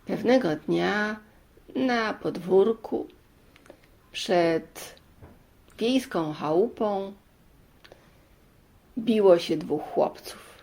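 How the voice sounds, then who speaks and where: Female storyteller for children's fairy tales, very unhurried, mid-pitched, breaking sentences into short phrases. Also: creaky, darkish tone. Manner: deliberate, pausing between short phrases. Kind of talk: children's fairy-tale narration.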